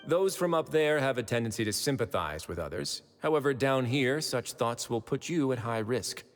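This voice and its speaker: A man voicing a calm, confident prison administrator in a video game, with a dry, easy way of talking. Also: mid-range voice, moderate pace, close to an everyday voice. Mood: easygoing. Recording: game audio with long reverb and music.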